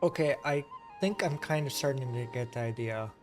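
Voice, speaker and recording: A man with a mid-pitched voice gives casual gaming commentary. He sounds offhand and wry, with faint music in the background. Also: normal speed. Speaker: gaming streamer. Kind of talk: casual playthrough commentary, reading along.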